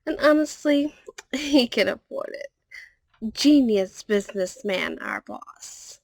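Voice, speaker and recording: Woman acting a slow-spoken, high-voiced polite catgirl secretary. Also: very animated, vocal fry, a little mellow, dry recording, exaggerated voice. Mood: chatty, cheerful. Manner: polite, chatty, meowing nyah tic ending sentences. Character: friendly polite catgirl secretary, verbal tic, loyal. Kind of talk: ASMR roleplay acting.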